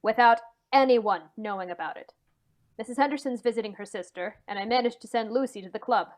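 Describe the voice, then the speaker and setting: A woman's voice: fairly high pitch, brisk, lightly acted. A woman acting a nervous, hesitant man in an old-time radio mystery, stammering as the character confides. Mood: urgent. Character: anxious man confiding a suspected family murder.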